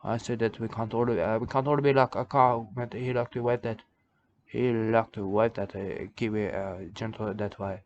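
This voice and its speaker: A man playing an odd male preacher of a nudist church, who talks in halting broken English and keeps repeating himself. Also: on the low side, steady tone, darkish tone, a little hiss or hum, lightly acted. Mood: rambling. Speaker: self-styled church leader. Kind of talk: rambling comic monologue. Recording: dull lo-fi recording.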